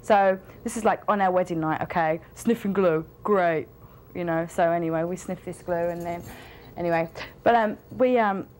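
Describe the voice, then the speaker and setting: A woman's voice: fairly low pitch, moderate pace, darkish tone, a little hiss or hum. Rambling young woman. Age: young adult. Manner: rambling, with many fillers and restarts. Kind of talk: personal storytelling to camera. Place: indoor studio with a plain wall.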